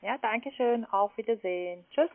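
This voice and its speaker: A woman's voice: fairly high pitch, normal speed. A woman with a foreign accent in German, a non-native speaker making a cold sales call. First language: not German. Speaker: call centre caller. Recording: narrowband telephone line.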